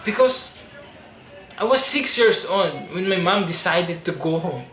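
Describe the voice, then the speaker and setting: A young man with a Filipino accent speaks in a high-pitched voice, making a heartfelt personal plea. He sounds earnest and pleading. The recording is roomy and echoey, with clear noise behind him. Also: unhurried. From Philippines. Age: 20s. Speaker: reality show audition applicant. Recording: roomy echo with steady background noise.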